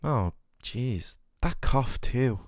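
Man playing a doting, worried boyfriend, expressive, close-up. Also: mid-range voice, very slow, no echo. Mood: gentle, caring. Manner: soothing, earnest, affectionate. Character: worried, tender, doting boyfriend caring for his sick partner. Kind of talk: scripted boyfriend roleplay ASMR.

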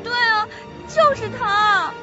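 An actress playing a frightened, tearful young female employee in a suspense radio drama, halting and pleading. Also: very high-pitched. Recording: dull audio under loud music.

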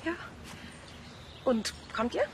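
A woman's voice: takes it slowly, a little hiss or hum, lightly acted. An actress playing a sceptical, feisty young policewoman with a blunt, sardonic manner.

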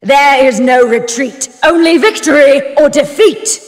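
An actress playing a proud, fierce goddess of war and ice in a fantasy video game, declaiming in a grand, commanding manner. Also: female voice, high voice, unhurried. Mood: fierce, exultant. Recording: long reverberant hall effect.

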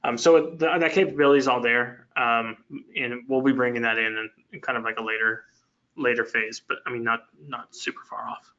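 Rambling man with a bright voice. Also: mid-range voice, fairly even intonation, a little fast. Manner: rambling, many fillers and restarts. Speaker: software engineer presenting a product. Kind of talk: technical Q&A answers. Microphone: video call. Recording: dull lo-fi web meeting audio.

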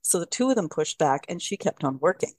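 An older woman hosting a podcast, telling anecdotes in a thoughtful, measured way. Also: lowish voice, expressive, a little mellow, no echo. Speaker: podcast host. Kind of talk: casual conversation.

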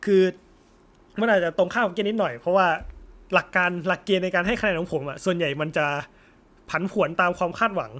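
A man's voice: high-pitched, quick pace, a bit bright. A young man hosting a casual discussion, explaining his views in a polite, conversational way. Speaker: host of an anime discussion channel. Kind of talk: casual chat, after-viewing discussion.

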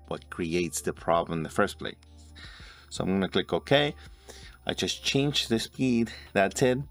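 A man in his forties narrating a video editing tutorial step by step as host. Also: low-pitched. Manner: step-by-step, instructional. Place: indoor room at a computer. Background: music.

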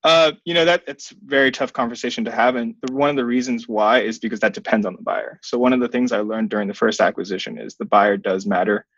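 A man in his thirties answering interview questions conversationally as a guest, in a measured, hedging way. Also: medium pitch, fairly even intonation, fairly bright tone, dry recording, highs cut off. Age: 30s. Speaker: software company founder, interview guest. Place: small plain indoor room. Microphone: webcam video call, wearing headphones.

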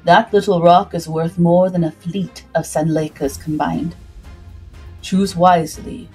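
A woman voicing a solemn, intense male benefactor in a slow, formal manner for a pirate story. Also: lowish voice, steady tone, a little mellow, husky, poor audio. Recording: music behind the voice.

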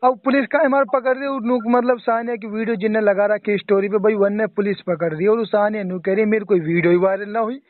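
A man with a Mewati accent talks in a high-pitched voice on a dull, dry phone recording. He is exasperated and emphatic, in a casual, chatty way. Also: fairly even intonation, fast, hardly any pauses, no echo. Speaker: phone call participant. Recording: band-limited phone call recording.

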